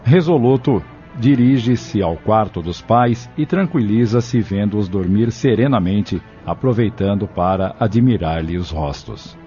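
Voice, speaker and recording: Low-voiced male narrator over loud music. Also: lively intonation, unhurried. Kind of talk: scripted audio drama narration. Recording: muffled lo-fi, loud music behind.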